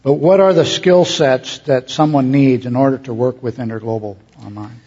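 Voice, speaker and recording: Man, panel host, informal moderating, middle pitch, dull muffled recording. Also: fairly even intonation, moderate pace, dark tone, husky.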